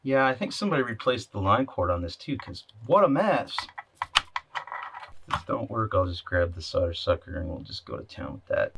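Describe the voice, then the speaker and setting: A man's voice: on the low side, very animated, moderate pace, a bit bright. A man talking casually and unscripted as he does hands-on repair work, muttering to himself and answering his own questions. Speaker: electronics repair hobbyist hosting a video. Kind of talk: casual unscripted talk while working. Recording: clear background noise, clicking and clatter.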